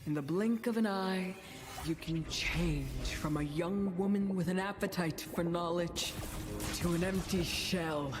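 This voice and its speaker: A woman playing a mysterious, wry storyteller in a video game, narrating cautionary fables in a measured, theatrical way. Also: lowish voice. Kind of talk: dramatic scripted game narration.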